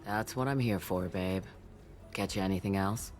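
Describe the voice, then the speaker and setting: A woman's voice: very deep voice, moderate pace. A woman playing a blunt, salty old alien matriarch who tends bar in a video game, world-weary in manner. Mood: gruff, friendly. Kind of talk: video game dialogue acting.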